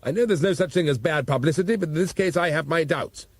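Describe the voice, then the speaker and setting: A man voicing a loud, exasperated, foul-mouthed police commissioner. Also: on the high side, fast, husky, voice pushed up.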